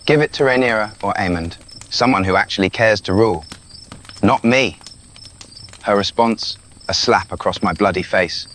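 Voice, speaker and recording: A man's voice: fairly low pitch, moderate pace, a bit bright, a little hiss or hum, lightly acted. A man with a British accent playing a restless, rebellious young prince in an intimate, sardonic audio roleplay. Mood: bitter, despairing. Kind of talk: scripted audio roleplay addressed to the listener.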